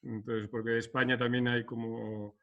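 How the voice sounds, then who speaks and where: A man's voice: medium pitch, flat delivery, moderate pace. A Basque architect in his fifties, speaking hesitantly with many restarts in a video-call interview. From Basque Country, Spain. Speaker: architect guest. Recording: dull lo-fi video-call audio.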